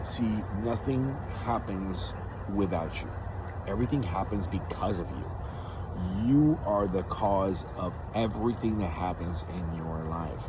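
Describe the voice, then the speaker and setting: A man with a husky voice giving a rambling, unscripted spiritual monologue, emphatically repeating phrases for stress as he hosts. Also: sits low, measured pace, background noise. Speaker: host of a spiritual series.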